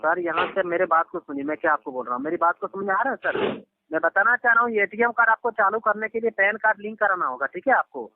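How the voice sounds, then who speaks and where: Male phone agent, fast and persistent, formal and soothing patter, dry narrow phone-line audio. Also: sits high, dry recording. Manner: insistent, repetitive, reassuring, addressing the listener formally. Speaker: phone caller posing as bank support. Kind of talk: persuasive phone call. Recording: lo-fi telephone line recording. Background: phone call audio.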